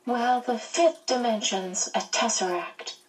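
A woman voicing a calm, precocious little boy who explains things patiently like a teacher, recorded at a distance. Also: on the high side, a little slow, pitched above the performer's own voice, lightly acted.

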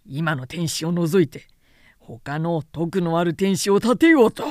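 Lady narrator doing a proud angry old-time chinese warlord, low voice, bossy archaic speech, audiobook style. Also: animated, unhurried, darkish tone, no echo, clean studio sound. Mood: furious. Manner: archaic, commanding, declamatory speech. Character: furious, imperious male Chinese warlord, proud and hot-tempered.

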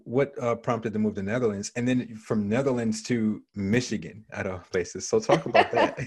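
A man's voice: on the low side, moderate pace, breathy edge. A man hosting a podcast interview, asking long, winding questions full of restarts and repeated words. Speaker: podcast host. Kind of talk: conversational interview.

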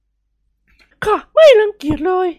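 A woman's voice: takes it slowly, a little mellow, no echo, studio quality, close to an everyday voice. A woman voicing a plump middle-aged society lady who is effusive, coy and insistent in a story. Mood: coy, amused. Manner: polite, effusive, coy feminine speech.

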